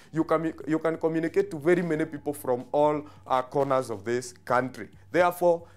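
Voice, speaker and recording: A man with a Kenyan accent teaching a social studies lesson on television, explaining terms step by step and repeating key phrases, with frequent hesitations. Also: a little high.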